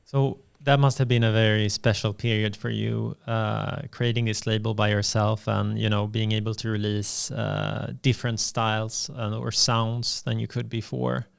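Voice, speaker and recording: A man hosting a podcast interview, asking measured questions and giving constant soft backchannels. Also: fairly low pitch, flat delivery, gravelly, clean tone. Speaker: podcast host.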